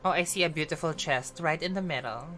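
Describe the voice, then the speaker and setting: A woman's voice: sits low, quick pace. A woman with a Filipino accent giving casual, playful live commentary as she streams a game, reacting as she goes. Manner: playful, reactive, switches between English and Tagalog. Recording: loud game music behind. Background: game music.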